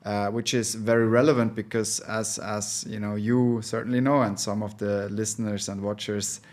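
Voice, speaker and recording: Low-voiced man, hesitant. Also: level tone, moderate pace, a little mellow. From Switzerland. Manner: thoughtful, hesitant, many filler sounds. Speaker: venture capital partner, guest. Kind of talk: casual interview talk.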